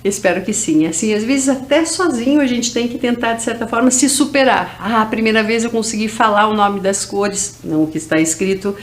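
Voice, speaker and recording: A woman from Brazil with a Brazilian Portuguese accent, teaching English to teens in a video lesson and drawing out each word as she drills it. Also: a little high. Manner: slow, drawn-out drilling of English words.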